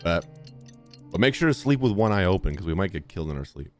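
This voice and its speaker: A man's voice: low voice, very animated, quick pace. A young man doing casual let's-play commentary, reading game text aloud and reacting with sarcastic asides over game music. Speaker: gaming streamer.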